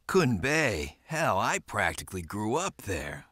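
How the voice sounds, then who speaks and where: An actor playing a brooding, defiant young war pilot in a video game, terse and hard-boiled. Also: male voice, on the low side, very animated, slow pace, sharp tone, slightly rough, no room sound, studio quality, exaggerated voice. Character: brooding, defiant young man, war pilot seeking revenge on his brother. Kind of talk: video game voice acting, narration and dialogue.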